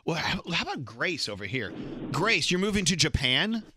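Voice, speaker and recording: A man's voice: on the high side, big pitch swings, noisy background. A man hosting a morning radio show, joking playfully with a campy streak. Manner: playful, campy, quick jokes.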